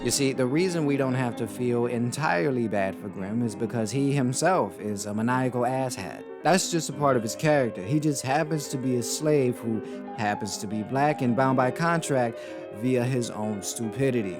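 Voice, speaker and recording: A man's voice: medium pitch, normal speed, music in the background. Wry male cartoon commentator. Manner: wry, joking, conversational. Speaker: YouTube commentator. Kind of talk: casual video commentary.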